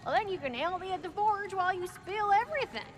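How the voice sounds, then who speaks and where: A woman playing a boisterous, sharp-tongued female forge keeper in a video game, hearty and rustic in manner. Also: very high voice, lively intonation, fast, soft background music. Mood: boisterous, fond. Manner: hearty, scolding, rustic slang. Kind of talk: video game character acting.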